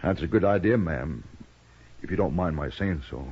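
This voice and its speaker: An actor playing a polite, plainspoken frontier drifter with an American accent and folksy, hesitant manner in a 1950s radio western. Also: male voice, sits low, expressive, moderate pace, slightly rough, full character voice. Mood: earnest, courteous. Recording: muffled 1950s radio recording.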